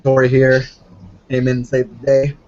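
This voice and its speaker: A man's voice: mid-range voice, monotone, normal speed, darkish tone. A man chatting casually as a player in a tabletop role-playing session. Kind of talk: casual game-session chat. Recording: dull, noisy voice chat with clicks.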